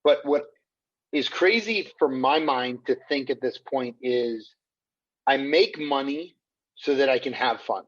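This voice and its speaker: A man's voice: mid-range voice, measured pace, fairly bright tone. Guy hosting a podcast, upbeat, kinda muffled lo-fi sound. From United States. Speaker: podcast host. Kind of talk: conversational interview.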